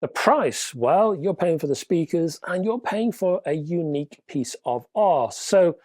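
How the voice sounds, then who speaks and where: Man, stuffy nose, nasal, wry chatty presenter, very lively intonation, dry close recording. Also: on the high side, measured pace, no echo. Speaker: hi-fi news presenter. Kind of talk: casual news roundup to viewers.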